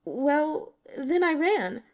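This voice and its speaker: A shaken young woman student from an audiobook, as acted by a female narrator. Her voice is very high and hesitant, with a frightened, lively movement in pitch. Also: extremely slow, exaggerated voice. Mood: evasive, hesitant. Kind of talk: audiobook character acting.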